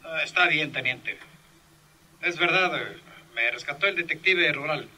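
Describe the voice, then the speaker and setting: An actor with a Mexican accent playing an indignant, blustering police captain in an old radio serial. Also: male voice, on the high side, a little slow, sharp tone, breathy edge, heavily acted, poor audio.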